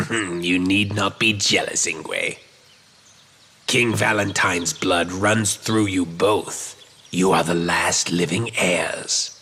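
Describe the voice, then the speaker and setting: An actor playing a sly, scheming retainer in a fantasy game, speaking in an insinuating, formal way. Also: male voice, low voice, steady tone, slow pace, pushed and bright, husky, big-room sound, full character voice. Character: sly, scheming male retainer urging royal restoration.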